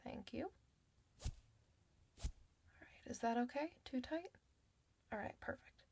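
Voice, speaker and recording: A woman playing a kind clinician in an ASMR medical roleplay, soft-spoken, reassuring and chatty with the patient. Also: a little high, animated, takes it slowly, gravelly, slight background noise, heavily acted. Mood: calm, reassuring.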